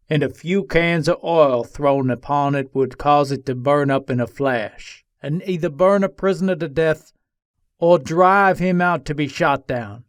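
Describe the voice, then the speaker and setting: A man performs an embattled Old West gunslinger in a dramatic reading. The voice sits a little high for a man and is recorded dry and close. He tells his side from jail in deliberate, formal, old-fashioned phrasing. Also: dry recording, close to an everyday voice. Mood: grim, resigned.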